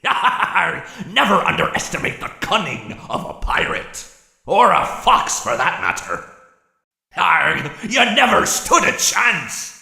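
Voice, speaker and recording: An actor voicing a cunning old pirate fox in a video game, with a gravelly voice and a stage pirate accent. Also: male voice, high voice, wildly swooping pitch, takes it slowly, sharp tone, full character voice. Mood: gloating. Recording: echoey room.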